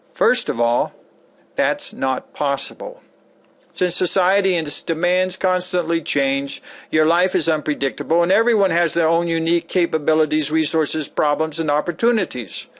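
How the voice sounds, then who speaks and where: Male reader, noticeably high voice, emphatic and theatrical, for a scripted religious lecture. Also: a bit bright. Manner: emphatic, theatrical, deliberate phrasing with rhetorical questions. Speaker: author reading a sample of an e-book lecture. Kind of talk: dramatic scripted reading, sermon-like lecture.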